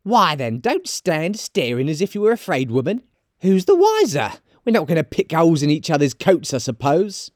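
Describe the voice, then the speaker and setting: A man voicing a bold, defiant, scornful charwoman in a storybook reading, loud and exclaiming. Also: a little high, huge pitch swings, normal speed, no echo, clean studio sound, pitched above the performer's own voice.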